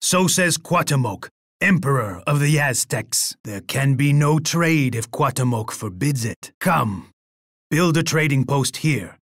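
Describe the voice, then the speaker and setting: An actor playing a proud, menacing Aztec emperor in a video game, boastful and imperious, speaking with pompous theatrical weight. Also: male voice, mid-range voice, lively intonation, measured pace, fairly bright tone, dry recording, clean studio sound. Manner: pompous, speaks of himself in the third person. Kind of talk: dramatic video game character lines.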